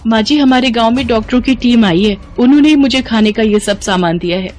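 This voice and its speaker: Woman playing a plaintive, high-voiced young daughter-in-law. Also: a little fast, darkish tone, faint music behind, close to an everyday voice. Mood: meek. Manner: plaintive, earnest. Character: mistreated, meek young pregnant daughter-in-law. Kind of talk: animated moral story acting.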